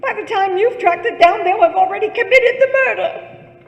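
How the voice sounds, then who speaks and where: A woman's voice: very high-pitched, moderate pace, vocal fry, highs cut off. A woman playing an anxious, demanding bedridden wife in a suspense radio play, fretful and imperious, stammering when rattled. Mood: insistent. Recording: echoey hall with long reverb.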